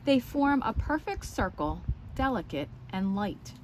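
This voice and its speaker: A woman's voice: medium pitch, very animated, unhurried, a little hiss or hum, rough recording. A woman reading aloud and showing things to young children, explaining as she goes and putting questions to her listeners. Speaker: children's story time teacher and reader. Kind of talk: read-aloud and show-and-tell for young children.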